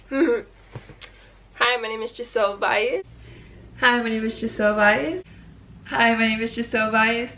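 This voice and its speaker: Twenty-something woman vlogging to camera, lots of fry, kinda echoey room, chatty and hesitant. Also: on the high side, fairly even intonation, a little slow, long pauses, sharp tone. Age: 20s. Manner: chatty, hesitant, restarts with ums. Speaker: YouTuber. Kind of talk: casual to-camera yearly check-in.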